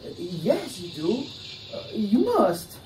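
A man's voice: sits high, wildly swooping pitch, takes it slowly, darkish tone, soft background music, exaggerated voice. A young man acting in a stage play as a nervous, defensive ex-convict, with a hesitant, theatrical delivery. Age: young adult. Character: nervous, defensive ex-convict pleading his innocence. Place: indoor theatre set, far camera. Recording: echoey stage, distant mic, faint noise.

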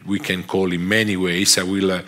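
A man with a strong Italian accent gives a lecture from a theatre stage, speaking into a headset mic. His voice is low but bright and forceful in tone. He pauses on fillers and restarts his phrases often. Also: flat delivery. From Italy. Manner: halting, many fillers and restarts. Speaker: guest lecturer. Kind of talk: academic lecture.

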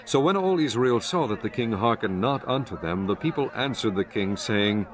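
A man's voice: mid-range voice, expressive, moderate pace. A man narrating a dramatized scripture reading in a solemn, formal storytelling manner. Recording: music bed behind the voice.

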